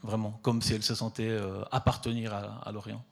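A man's voice: fairly low pitch, level tone, brisk, vocal fry. A middle-aged man from southern Italy, talking about his photography with frequent fillers and repeated words. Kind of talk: hesitant conversational talk at an exhibition.

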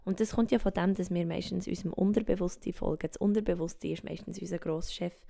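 A Swiss woman speaking German with a Swiss German accent, hosting a reflective solo podcast, thinking aloud softly and often dropping to a whisper. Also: on the low side, steady tone, fast, creaky. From Switzerland. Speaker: podcast host. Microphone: home microphone.

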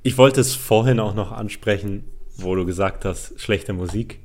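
A man co-hosting a podcast, giving his opinions in casual, halting talk with bits of English slang. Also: on the low side, darkish tone. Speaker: podcast co-host. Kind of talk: casual chat about music.

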